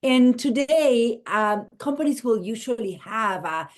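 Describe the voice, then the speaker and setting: A middle-aged woman from Argentina with an Argentine accent, a guest explaining her business approach in a call interview, earnest and a little halting. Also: a little high, animated. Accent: Argentine Spanish. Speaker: guest, marketing strategist.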